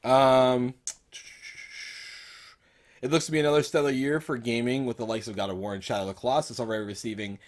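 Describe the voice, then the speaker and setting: A man's voice: mid-range voice, fairly even intonation, fairly quick, pauses often. A man co-hosting a gaming podcast in a casual, conversational style. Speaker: podcast co-host.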